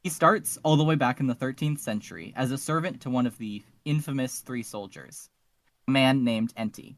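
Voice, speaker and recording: A man speaks as a podcast co-host, moving between casual discussion and reading out summaries. His pitch sits in the middle range. The sound is dry, with hardly any room. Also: normal speed, no room sound.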